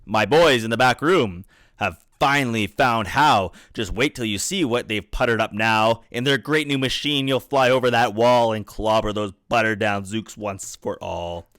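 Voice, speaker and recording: A man's voice: fairly low pitch, normal speed, fairly bright tone, dry recording, natural voice. Guy reading as a confident storybook chief, rallying the troops, commanding, dry sound. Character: confident male war chief creature, rallying and reassuring.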